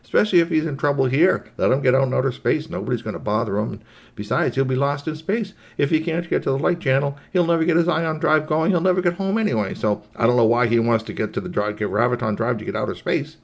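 A man's voice: medium pitch, lively intonation, fast, creaky, voice pushed up. A man voicing an easygoing, permissive robot in a children's story, breezy and emphatic. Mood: breezy, dismissive. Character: easygoing, permissive robot who shrugs off trouble. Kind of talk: improvised children's story dialogue.

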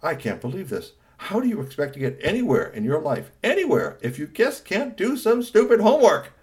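Man acting excitable cartoonish dad, pitched high. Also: a little fast. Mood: indignant, sarcastic. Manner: gushing, repeats himself, emphatic. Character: excitable, boyish scientist father, quick to scold. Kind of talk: children's storytelling character voice.

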